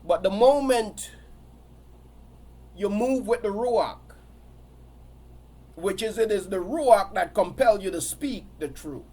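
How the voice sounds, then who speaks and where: A man's voice: high voice, expressive, slow pace, long pauses, warm tone. A man preaching a sermon while he has a cold and sniffles, repeating his phrases in a building, emphatic cadence.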